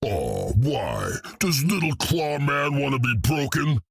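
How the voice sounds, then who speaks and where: A man voicing a hulking, cocky green brute in a video game, gruff and blunt, talking about himself in the third person with a gravelly, husky voice. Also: medium pitch, very animated, takes it slowly, dry recording, clean studio sound, exaggerated voice. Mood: menacing. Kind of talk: video game character dialogue.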